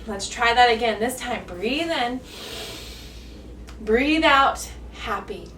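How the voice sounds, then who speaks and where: A woman's voice: a little high, lively intonation, slow pace, a bit bright, a little hiss or hum. A woman teacher guiding young children through yoga poses step by step, with encouraging, simple singsong talk. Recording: echoey room, distant mic, music behind.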